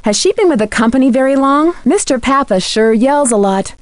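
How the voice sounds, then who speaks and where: A woman's voice: high-pitched, expressive, brisk, no echo. A woman playing a polite, determined young female detective in a video game, earnest and inquisitive.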